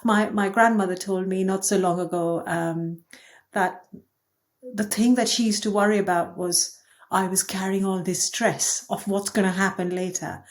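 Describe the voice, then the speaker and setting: A woman's voice: medium pitch, fairly even intonation, normal speed. Woman, thoughtful podcast guest. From India. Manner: thoughtful, candid, light laughs. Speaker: podcast guest, agency co-founder. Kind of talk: reflective conversational interview.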